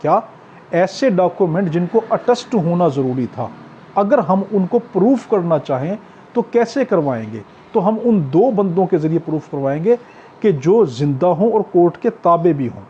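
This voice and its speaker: A man's voice: sits high, expressive, normal speed, mellow. A man with a Pakistani accent teaching an exam-prep law lesson in a didactic way, posing questions and repeating key points. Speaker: law tutor. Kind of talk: exam-prep lecture, reading articles in English and explaining them. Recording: muffled lo-fi audio with faint background noise.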